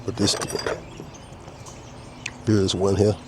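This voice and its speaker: A low-pitched man with a drawl, stuffy from a head cold, talks his way through each step of a repair. He is easygoing and explains every tool as he goes, with faint birdsong and outdoor air behind him. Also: monotone, drawn-out words, dark tone, a little hiss or hum. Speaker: custom car restorer demonstrating a repair. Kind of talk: casual step-by-step how-to narration. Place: outdoor workshop.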